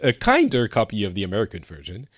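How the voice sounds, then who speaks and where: A man's voice: lowish voice, huge pitch swings, racing, fairly bright tone, dry recording. A man from France hosting a podcast in casual chat, rambling with many fillers and restarts. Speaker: podcast host.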